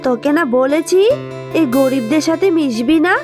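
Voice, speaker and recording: Snobbish cartoon tigress mum played by a woman, high-pitched, bossy, scornful, music underneath. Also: normal speed, lightly acted. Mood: scornful, angry. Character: haughty, spiteful rich tigress mother, scolding. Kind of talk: Bengali fairytale cartoon dubbing.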